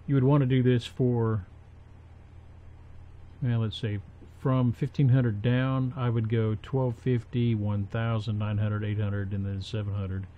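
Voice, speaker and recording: A man presenting a casual, unscripted software tutorial, haltingly thinking aloud as he works. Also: medium pitch, flat delivery. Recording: dull, muffled home recording with faint noise.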